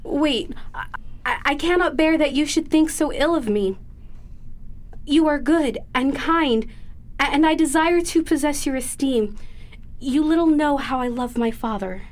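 Woman playing a high-voiced, earnest young daughter. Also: unhurried. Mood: earnest, wounded. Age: young adult. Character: earnest, desperate young daughter, emotional and pleading. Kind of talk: melodramatic audio drama acting.

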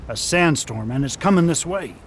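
A man's voice: a little high, lively intonation, moderate pace, a little hiss or hum, close to an everyday voice. A man playing a level-headed deputy in a radio drama, speaking cautiously and matter-of-factly. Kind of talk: amateur radio drama acting.

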